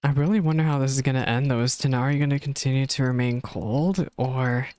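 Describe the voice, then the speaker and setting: A game streamer giving casual, empathetic live reactions and musing haltingly. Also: mid-range voice, darkish tone. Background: game music.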